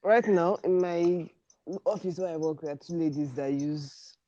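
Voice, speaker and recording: A woman chats casually on a panel. Her voice is fairly low and very mellow, with slightly dull, lo-fi sound. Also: normal speed. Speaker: podcast panellist. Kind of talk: casual chat.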